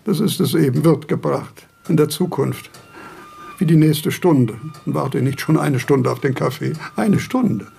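An actor playing a frail, eccentric old philosopher in a radio drama, halting and pedantic, with a husky, creaky voice. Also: male voice, on the high side, warm tone, heavily acted. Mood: querulous, impatient. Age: older. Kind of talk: German radio drama acting.

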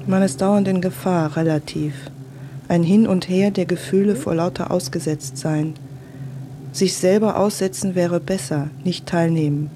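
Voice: medium pitch, fairly even intonation, measured pace, noisy background. A speaker delivering a reflective, literary monologue in clipped, thoughtful phrases.

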